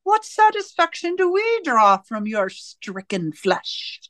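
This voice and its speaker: A woman playing a cold, domineering interrogator in a radio drama, speaking in deliberate, commanding, rhetorical questions and pronouncements. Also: sits high, big pitch swings, a bit bright, no echo, full character voice. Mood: controlled, imperious. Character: cold, domineering party interrogator and torturer, righteous and controlling. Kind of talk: theatrical radio drama acting.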